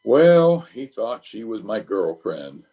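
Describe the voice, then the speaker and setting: A man's voice: on the low side, big pitch swings, takes it slowly, mellow, full character voice. A man playing a flustered, blustering middle-aged bachelor in an old-time radio comedy, hemming and sheepish. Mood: flustered, evasive.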